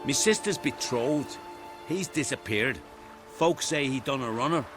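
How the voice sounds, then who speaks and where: An actor playing a plain-spoken, grumbling island villager in a video game, with a rustic, blunt manner. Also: male voice, medium pitch, lively intonation, measured pace, over music, lightly acted. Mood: rueful. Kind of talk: video game cutscene acting.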